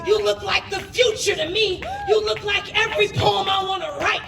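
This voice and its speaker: A woman's voice: very high-pitched, fairly even intonation, normal speed, creaky, music in the background. A woman performing spoken word poetry with an impassioned, emphatic and dramatic delivery. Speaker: poet. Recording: roomy echo, distant microphone.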